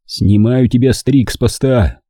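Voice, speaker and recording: A man's voice: mid-range voice, moderate pace, warm tone, no room sound, studio quality, close to an everyday voice. A man voicing a stern partisan commander who gives curt orders.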